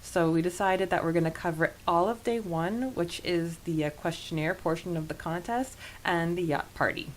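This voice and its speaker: A woman's voice: on the low side, steady tone, moderate pace, hardly any pauses, poor audio. A woman hosting a podcast that discusses a book, mixing prepared notes with talk. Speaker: podcast host. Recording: noticeable background hiss.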